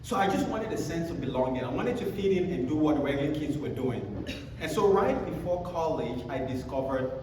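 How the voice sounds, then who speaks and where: A Cameroonian man in his thirties delivers a keynote with a storyteller's lively touch and wry jokes at his own expense. His voice sits a little high for a man. He is picked up from across a large hall, so his words come with a long, booming echo. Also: a little fast, background noise. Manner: animated storytelling with self-deprecating jokes. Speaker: conference speaker. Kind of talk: motivational keynote talk. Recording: echoey hall, speaker far from mic.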